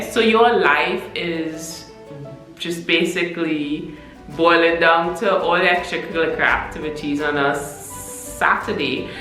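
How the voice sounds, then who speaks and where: Low-voiced Caribbean woman vlogging. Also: fairly bright tone, echoey room, rough recording. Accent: Caribbean mix of Trinidadian and Barbadian. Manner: chatty, candid, self-deprecating. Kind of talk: casual talking-head vlog. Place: room in Mexico City.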